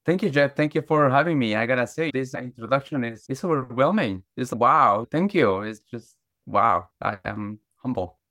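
A man's voice: mid-range voice, expressive, moderate pace, fairly bright tone, dry recording, clean studio sound. A middle-aged man from Guatemala, a podcast guest, telling his life story earnestly with many restarts and fillers. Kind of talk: conversational storytelling.

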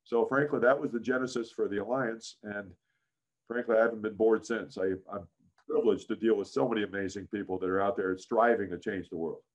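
A man in his sixties with a deep voice, a guest in a casual interview, telling career stories with many "frankly"s and "uh"s. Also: brisk, highs cut off. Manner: frequent "frankly" and "uh", animated anecdotes. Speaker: interview guest, business founder.

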